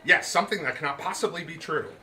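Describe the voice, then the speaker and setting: A man voicing a stiff, proud gentleman merchant in a comic story, making formal declarations. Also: fairly high pitch, quick pace, far from the mic, pitched above the performer's own voice. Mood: with a flourish.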